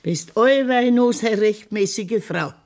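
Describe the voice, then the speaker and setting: A woman's voice: medium pitch, slow pace, a little mellow. An older-sounding actress with a Bavarian accent playing an outspoken, scolding farm grandmother in a radio drama. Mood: worried, reproachful. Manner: scolding, questioning. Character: outspoken old widowed farm grandmother, indignant. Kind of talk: German dialect radio drama acting.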